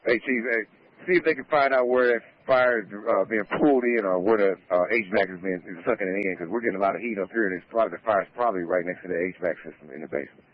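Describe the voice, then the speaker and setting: A man's voice: fairly low pitch, fast talker. A man talking as a firefighter over a two-way radio at a fire scene. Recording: dull lo-fi scanner radio audio.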